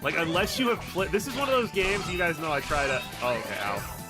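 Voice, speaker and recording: Guy streaming a game, griping and swearing casually, sarcastic, loud game music behind him. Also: on the high side, animated, fairly quick. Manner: sardonic, critical, profane. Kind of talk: casual gameplay commentary. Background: loud game music and effects.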